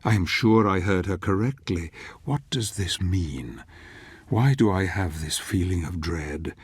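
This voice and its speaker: A solemn, brooding older storyteller narrates a dark fantasy game. His voice is low and recorded dry and close, with a measured, ominous delivery. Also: lively intonation, slightly rough, no room sound, heavily acted. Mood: grave, uneasy. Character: grave, brooding male narrator, wary and ominous companion. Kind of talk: scripted dramatic game narration.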